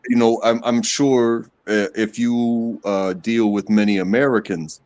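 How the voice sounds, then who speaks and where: A man chatting casually on a live stream, speaking haltingly with many fillers and restarts. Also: on the low side, level tone, a little mellow. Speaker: stream guest. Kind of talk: casual chat.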